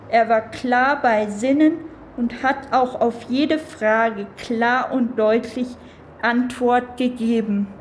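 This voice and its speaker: An actress playing a dutiful nurse giving a measured, factual witness statement in a radio drama. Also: female voice, a little high, a little mellow, slight background noise, rough recording. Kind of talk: scripted radio drama, testimony.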